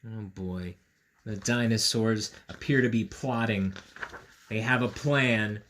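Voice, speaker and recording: A man reading a picture book aloud to children, playful and knowing, with chatty asides and dramatic pauses. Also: lowish voice, fairly bright tone, a little hiss or hum. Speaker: storyteller, school read-aloud host.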